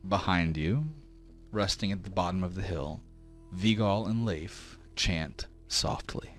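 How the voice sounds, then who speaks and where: Man, game master, theatrical narration, unhurried pace, faint background music. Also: deep voice. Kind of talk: dramatic scripted tabletop narration.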